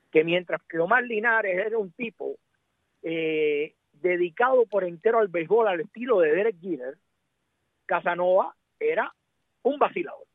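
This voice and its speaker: High-voiced Cuban man, narrow-band sound. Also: no echo. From Cuba. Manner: emphatic, repeats words for emphasis. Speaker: baseball commentator guest. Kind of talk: casual sports discussion.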